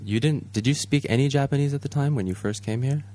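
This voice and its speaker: A man's voice: mid-range voice, mellow. A man co-hosting an interview, asking questions with frequent restarts. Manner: halting, repeats words when asking. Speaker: co-host.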